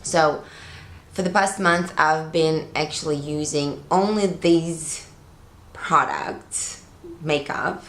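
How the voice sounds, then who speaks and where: Slow, relaxed woman with a low-ish voice. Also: roomy sound, a little hiss or hum. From Latvia. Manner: relaxed, rambling, with fillers like "like" and "um". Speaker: beauty vlogger. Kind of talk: chatty makeup tutorial. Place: living room, on a sofa, indoors.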